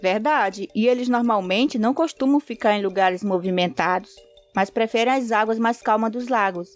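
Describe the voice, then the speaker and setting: A woman co-hosting a podcast, reading scripted narration in a clear, friendly way. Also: a little high, normal speed, soft background music. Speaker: podcast co-host.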